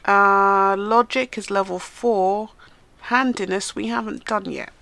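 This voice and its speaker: A woman with a bright, tense voice that has some gravel in it, chatting through a life-sim let's-play. She is stuffed up from a cold, so she sounds nasal, and she talks straight to the characters on screen. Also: medium pitch, fairly even intonation, slow pace, no echo. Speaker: gaming channel host. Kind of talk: casual let's-play commentary.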